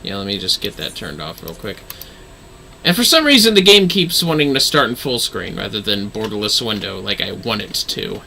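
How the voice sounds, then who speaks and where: A man's voice: on the low side, very animated, moderate pace, gravelly, bright, forceful tone, a little hiss or hum. A man chatting casually as he hosts a game livestream, informal and apologetic, swearing freely. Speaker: livestream host playing a video game.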